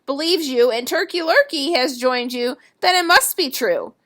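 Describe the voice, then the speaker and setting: A curious mother goose from a children's tale, read by a woman. She asks doubtful questions in a high, bright voice. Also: lively intonation, moderate pace. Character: curious, doubtful female goose, easily persuaded.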